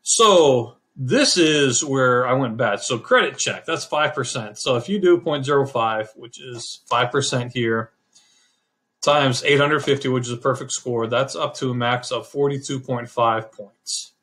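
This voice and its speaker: A middle-aged man hosting a casual screen-share walkthrough, talking in a relaxed, rambling way and correcting himself as he goes. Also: mid-range voice, unhurried. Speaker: channel host explaining credit scores.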